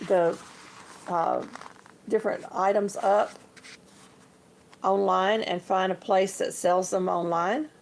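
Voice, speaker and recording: Chatty woman with a gravelly voice. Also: lowish voice, darkish tone. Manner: chatty, homey, addresses viewers as y'all. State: slightly hoarse. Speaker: livestream host. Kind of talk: casual livestream show-and-tell.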